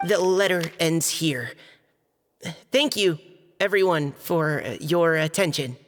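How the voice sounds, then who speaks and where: Casting: earnest, timid young knight in a game, unhurried and polite, in a long reverberant hall. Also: male voice, fairly high pitch, fairly bright tone, slight background noise. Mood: nervous, polite. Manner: hesitant, polite, careful recitation. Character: shy, earnest young male knight reading aloud a letter. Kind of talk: video game voice acting. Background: music.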